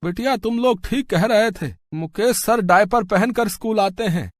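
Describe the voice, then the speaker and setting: Guy doing an earnest fretful school worker for a cartoon, really high voice for a man, dry audio. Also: normal speed, dry recording, lightly acted. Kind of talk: cartoon story dialogue.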